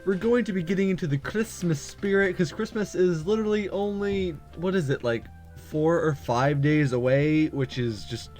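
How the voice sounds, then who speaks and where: A man's voice: fairly high pitch, moderate pace, hardly any pauses, darkish tone. A man hosting a gaming playthrough, chatting casually in an informal way. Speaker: gaming video host. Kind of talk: casual playthrough chat and read-aloud of visual novel text. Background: music.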